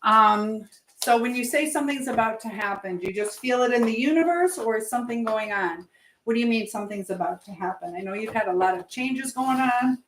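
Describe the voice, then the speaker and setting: A woman's voice: on the high side, fairly quick, fairly bright tone. Chatty woman in an echoey, noisy room. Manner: chatty, reads viewer messages aloud and questions them. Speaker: live stream host giving advice. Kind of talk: casual live chat while baking. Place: indoors in a kitchen. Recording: echoey room with background noise.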